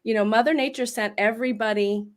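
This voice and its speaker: Expressive midlife woman telling her story. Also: a little high, fast. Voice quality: big voice. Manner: expressive storyteller with bursts of laughter. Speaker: voice coach and guest. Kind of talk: casual video interview.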